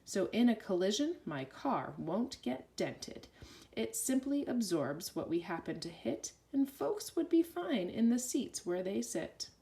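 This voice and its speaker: A woman reading a rhyming children's story aloud with an expressive, sing-song delivery. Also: mid-range voice, unhurried, creaky.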